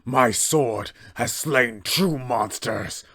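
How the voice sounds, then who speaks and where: A man voicing a vengeful undead male knight, speaking slowly and menacingly with a gravelly, husky voice. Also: medium pitch, fairly bright tone, full character voice. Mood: wrathful. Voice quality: gravelly, slow menacing delivery.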